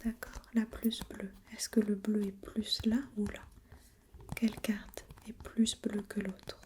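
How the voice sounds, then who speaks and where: A woman whispering breathily in an ASMR role-play as an examiner, gently asking step-by-step questions and giving short encouragements. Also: mid-range voice, flat delivery, slow pace, a little hiss or hum.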